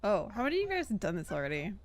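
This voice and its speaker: A woman's voice: mid-range voice, wildly swooping pitch, very fast, music in the background. A young woman chatting casually and informally as she streams a video game, rambling and giggly. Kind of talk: casual livestream chat and game reactions.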